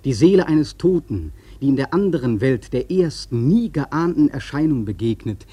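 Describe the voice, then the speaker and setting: Casting: seafaring storyteller for radio drama, soft mellow husky tone, intense and evocative delivery. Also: male voice, medium pitch, animated, moderate pace, no echo. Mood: awestruck. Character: awestruck young whaleman sailor recalling the hunt. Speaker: narrator. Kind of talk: dramatic literary radio-play narration.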